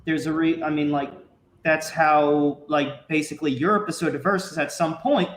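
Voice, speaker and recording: A man's voice: fairly high pitch, fairly even intonation, moderate pace. A young man talking animatedly in a casual podcast discussion over a video call, full of "like" and "I mean" fillers. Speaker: podcast panelist. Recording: roomy video call audio.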